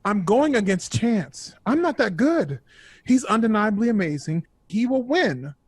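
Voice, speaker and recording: A man's voice: high voice, big pitch swings, unhurried, breathy edge, no echo, heavily acted. An actor playing a self-doubting young man with a hesitant, flustered manner in an audio drama. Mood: anxious. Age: young adult. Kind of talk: naturalistic audio drama acting.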